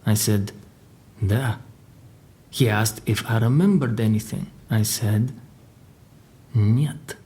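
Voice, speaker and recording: An actor playing a crime boss's guarded secretary in an audio drama, telling his story in a dry, deliberate way. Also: lowish voice, dark tone. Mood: grave, measured. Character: formidable Russian crime boss's secretary, guarded male survivor. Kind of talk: audio drama dialogue and narration.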